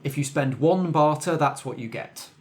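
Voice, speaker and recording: A man's voice: fairly high pitch, normal speed. A man speaking conversationally as game master, explaining the rules of a tabletop game to his players.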